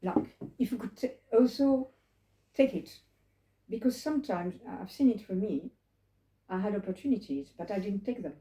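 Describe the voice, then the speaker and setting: Elderly woman with a mellow voice. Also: medium pitch, expressive, normal speed. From France. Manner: reflective, digressive, asks listeners questions. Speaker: holocaust survivor telling her story. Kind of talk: personal storytelling to a small group. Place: living room. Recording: roomy living room.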